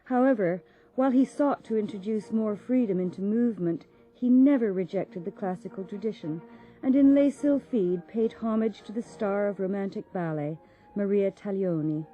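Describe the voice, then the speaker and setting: A woman giving measured, formal scripted narration for a documentary. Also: mid-range voice. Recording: dull lo-fi sound with faint music.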